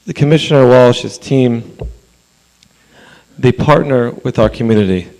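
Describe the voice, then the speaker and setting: A man whose voice is hoarse after a long holy day speaks at a council meeting. He talks in an earnest, chatty way with fillers and asides, at a middle pitch. He is clearly addressing a room from a podium. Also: a little slow, darkish tone, low-quality recording. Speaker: rabbi speaking at public comment. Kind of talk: public comment speech. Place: council chamber.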